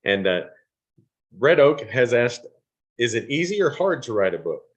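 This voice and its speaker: A man's voice: mid-range voice, big pitch swings, moderate pace. A man hosting an informal online award event, reading out questions and giving thanks.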